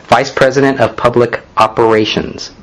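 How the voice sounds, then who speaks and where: A man's voice: mid-range voice, flat delivery, moderate pace, fairly bright tone, rough recording. A man interviewing a guest for a newspaper, opening the talk and prompting with short questions.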